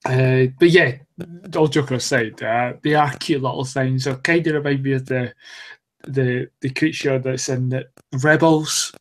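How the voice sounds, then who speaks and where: A man's voice: mid-range voice, steady tone, a little slow. A man co-hosting a podcast, chatting casually in a joking, rambling way. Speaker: podcast co-host. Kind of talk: casual chat.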